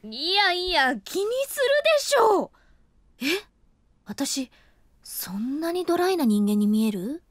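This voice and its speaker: An overworked office woman, expressive and a little flustered. Her high voice swings widely, and she sounds self-deprecating and chatty. The recording is close and dry. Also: takes it slowly, dry recording, exaggerated voice. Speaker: voice actress and narrator of the story. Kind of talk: voice drama acting with inner narration. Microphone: close studio mic.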